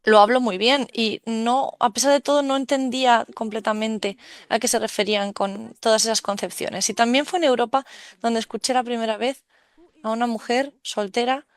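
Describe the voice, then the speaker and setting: A woman giving a steady, fluent live interpretation of a conference talk. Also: a little high, normal speed, clear, resonant tone, dry recording. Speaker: simultaneous interpreter.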